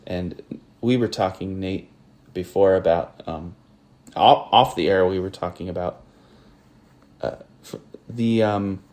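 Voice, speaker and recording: Low-voiced American man, halting podcast chat. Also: a little mellow. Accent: General American. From northern Ohio, USA. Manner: hesitant, many fillers and restarts. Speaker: podcast host. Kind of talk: casual conversation.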